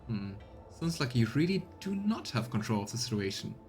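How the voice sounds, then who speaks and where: A man's voice: mid-range voice, big pitch swings, normal speed, a little mellow, soft background music. A man who streams games, reading out narration and adding casual commentary as he plays. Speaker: let's play streamer.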